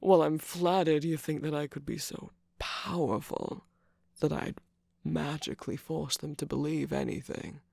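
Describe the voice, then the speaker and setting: A performer voicing a smug, seductive villainous cookie radio host in an ASMR romantic roleplay, slow, coaxing and teasing, with a breathy, gravelly voice that often drops to a whisper. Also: mid-range voice, a little mellow, no echo, clean studio sound. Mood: sly, coaxing. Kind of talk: ASMR romantic roleplay, scripted character acting. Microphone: close microphone, dry.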